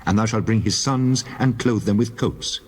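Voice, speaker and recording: An actor voicing God, a deep divine male voice giving solemn, measured commands in a dramatized scripture reading. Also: slightly rough. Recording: long hall reverb.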